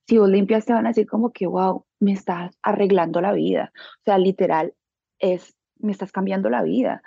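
Casting: Colombian woman, husky with vocal fry, colloquial and expressive storyteller in an informal interview. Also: medium pitch, no room sound, lo-fi sound. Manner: colloquial, expressive, acts out quoted dialogue. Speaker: interview guest, beauty business owner. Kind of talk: casual personal storytelling.

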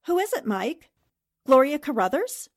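An actress playing a sharp, observant, inquisitive newspaper reporter in a 1940s-style radio drama. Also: female voice, high-pitched, very animated, moderate pace, background noise. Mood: surprised, questioning. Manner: probing, precise, questioning.

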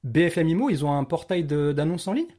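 A man's voice: on the high side, expressive, fast, mellow. Casual male podcast host. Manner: informal, frequent backchannel affirmations. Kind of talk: casual interview chat.

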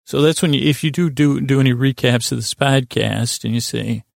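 Gravelly, meandering male podcast host. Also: medium pitch, moderate pace, dry recording, clean studio sound. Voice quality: gravelly, creaky voice. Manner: meandering, stumbling and stuttering, trailing off mid-word. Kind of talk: rambling improvised bedtime-story podcast monologue.

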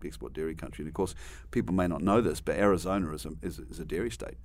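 Deep voiced kiwi guy, middle aged, rambles w restarts and dry jokes. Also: fast, darkish tone. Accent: New Zealand. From New Zealand. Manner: rambling, frequent restarts and asides, dry humor. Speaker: guest. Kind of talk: conversational interview storytelling.

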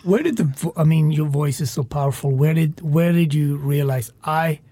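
A man from Sweden speaks with enthusiasm in a warm, husky tone. His voice is mellow and sits at a middle pitch. The sound is dry and close. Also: normal speed, no echo. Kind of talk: conversational interview.

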